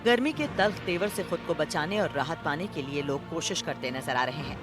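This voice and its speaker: A man reading a scripted news voice-over in a measured, lively reporting manner. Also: fairly high pitch, over music.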